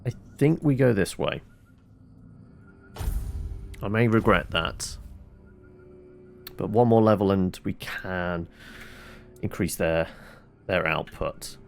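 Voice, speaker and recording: A man's voice: deep voice, slow pace, vocal fry, pauses often, soft background music. A man doing casual gameplay commentary as a streamer, musing aloud and answering his own questions. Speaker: video game streamer.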